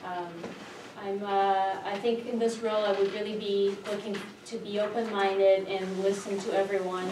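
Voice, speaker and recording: A woman from Brazil, a native Portuguese speaker, giving an informal candidate introduction in a hesitant, halting way. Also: medium pitch. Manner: hesitant, halting with frequent pauses. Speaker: school board candidate. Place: indoor public board meeting, far microphone. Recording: roomy, noisy, distant meeting-room microphone.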